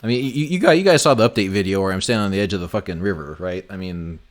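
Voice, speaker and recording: A man's voice: deep voice, very fast. A man hosting a game development stream, chatting casually and swearing freely as he works. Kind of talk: casual live stream chat. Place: indoor voice chat on a development livestream.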